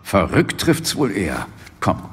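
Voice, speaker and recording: Ein Schauspieler spielt in einem Hörspiel einen nüchternen, wachsamen britischen Polizeiinspektor mit sachlicher, skeptischer Art. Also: male voice, low-pitched, wildly swooping pitch, unhurried, breathy edge, in a large hall, exaggerated voice. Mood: sober, determined. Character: sober, wary male British police inspector. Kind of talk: naturalistic audio drama acting. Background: music.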